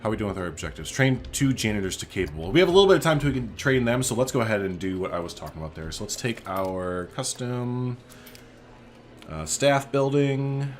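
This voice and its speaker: A man's voice: lowish voice, animated, brisk. A man hosting a gaming video, chatting casually and playfully as he thinks aloud through his play. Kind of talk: casual let's play commentary.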